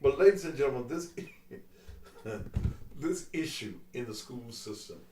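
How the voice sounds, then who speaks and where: A man's voice: medium pitch, lively intonation, a little slow, roomy sound, recorded from a distance. A middle-aged man hosting a call-in talk show, taunting and mocking a caller in crude language. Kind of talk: heated call-in radio argument.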